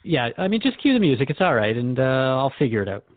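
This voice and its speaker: A man's voice: medium pitch, sing-song, fast talker, no room sound, natural voice. A man from Canada playing a skeptical, slightly flustered radio host in a drama, hesitant and conversational as he questions his guest. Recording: thin phone-like band-limited audio.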